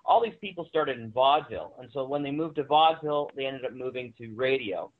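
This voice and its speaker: A man's voice: mid-range voice, expressive, fast talker. A man speaking as a panel guest, giving conversational commentary in an explanatory, emphatic way. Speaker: panel guest on audio drama. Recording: tinny, narrow phone-like line.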